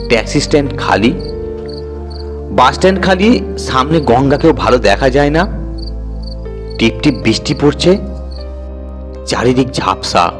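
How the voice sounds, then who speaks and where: A man narrating a horror story in the first person with an expressive, dramatic storytelling delivery. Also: mid-range voice. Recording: music bed under the voice. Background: music.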